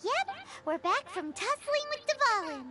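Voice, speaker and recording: A woman voicing a tiny, excitable childlike fairy companion in a video game, with a squeaky, chirpy delivery. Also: unhurried, noisy background, heavily acted. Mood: cheerful. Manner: chirpy, exaggerated.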